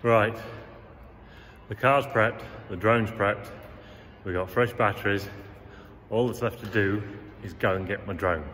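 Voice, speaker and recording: Deep-voiced Englishman in an echoey warehouse. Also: unhurried, a bit bright, slight background noise. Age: middle-aged. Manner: chatty, matter-of-fact, talking through each step. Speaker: vlogger. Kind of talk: casual vlog narration. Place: large empty derelict warehouse, indoors. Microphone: handheld camera at arm's length. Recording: long echo in a big empty warehouse.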